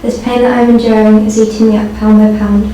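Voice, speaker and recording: A woman reads to an audience in a flat, even voice. She is far from the microphone in a very echoey space, with clear background noise. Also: medium pitch, fairly quick. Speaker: guest poetry reader. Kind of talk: poem reading to an audience. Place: indoor live launch event. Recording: echoey, noisy hall, far from mic.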